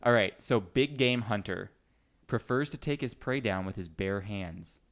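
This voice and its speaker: A man's voice: fairly low pitch, expressive, measured pace, fairly bright tone. Casual male podcast host. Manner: laughs often, informal. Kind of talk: casual group chat, running a trivia game.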